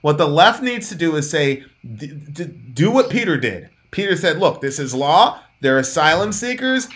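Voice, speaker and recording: Animated man arguing on a webcam call. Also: a little high, moderate pace. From Jamaica. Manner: animated, emphatic, gesturing. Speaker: co-host. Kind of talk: heated political debate. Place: dark room at home.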